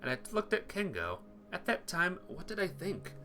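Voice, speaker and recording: A man's voice: high-pitched, huge pitch swings, normal speed, pushed and bright. A man reading visual novel text aloud as narration, sometimes stumbling over words and correcting himself. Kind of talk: narrated read-aloud of game text, acting out dialogue. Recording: music playing behind the voice.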